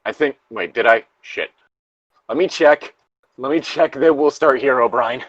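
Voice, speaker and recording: A man's voice: medium pitch, a little slow, fairly bright tone, no room sound, dull audio. A man co-hosting a story-reading show, switching between informal, sweary banter and reading horror stories aloud. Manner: informal, profane.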